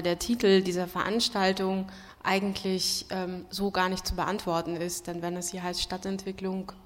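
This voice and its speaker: Opinionated woman in a reverberant hall. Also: fairly low pitch. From Berlin, Germany. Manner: opinionated, emphatic. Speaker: panel speaker. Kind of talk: impassioned political panel discussion. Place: large hall at a public event.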